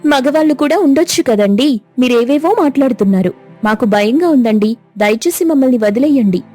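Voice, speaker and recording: Lady doing a careful big sister schoolgirl, high mellow voice, pleading. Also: animated, brisk, faint music behind. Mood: pleading, hushed. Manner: hushed, pleading. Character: cautious older schoolgirl, protective big sister. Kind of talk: cartoon voice acting.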